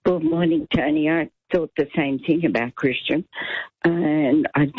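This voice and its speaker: A woman's voice: deep voice, a little slow, husky, no echo, narrow, tinny audio. Chatty woman in her seventies. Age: 70s. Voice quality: loud voice. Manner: chatty, rambling, indignant at times. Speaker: caller. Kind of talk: talkback radio call.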